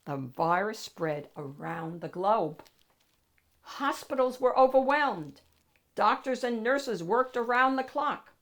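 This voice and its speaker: A woman narrating a children's picture book in an expressive, emphatic storytelling manner with deliberate phrasing. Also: fairly low pitch. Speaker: storybook reader, read-aloud host.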